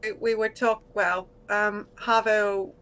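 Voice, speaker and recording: An American woman whose accent has shifted toward British after decades in the UK, chatting relaxed as a guest artist over a voice call. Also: medium pitch, takes it slowly, smooth. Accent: American softened by decades in Britain. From United States. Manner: relaxed, laughs easily, trails off. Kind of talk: casual interview chat.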